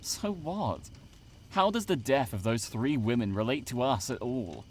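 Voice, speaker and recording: 남자가 연기하는 까칠하고 무시하는 말투의 젊은 남성 상담원. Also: male voice, mid-range voice, animated, unhurried, darkish tone, slight background noise, close to an everyday voice. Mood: dismissive. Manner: snide, sighing, condescending. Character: dismissive, grumpy young male camp counselor. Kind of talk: audio drama acting.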